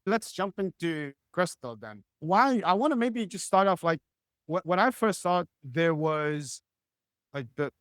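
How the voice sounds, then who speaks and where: A man's voice: fairly high pitch, measured pace, no room sound, studio quality. Laid-back man hosting a podcast. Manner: relaxed, casual, says "dude". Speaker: podcast host. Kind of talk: casual interview chat.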